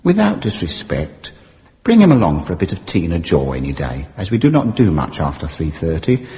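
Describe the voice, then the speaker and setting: An undertaker with a very low voice, wheedling and mock-genteel in his trade talk. His delivery swings in pitch, and he sounds muffled in a long reverb, as in a radio drama voice-over. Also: wildly swooping pitch, a little fast, dark tone, exaggerated voice. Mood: ingratiating. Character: plain-spoken working-class London undertaker, reading his letter aloud.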